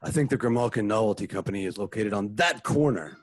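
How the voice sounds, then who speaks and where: A deep, husky caped crime-fighter played by a man speaks in a measured, formal way. His pitch rises and falls widely, and the sound is dry and close. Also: lively intonation, no room sound. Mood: earnest. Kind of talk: amateur radio play acting.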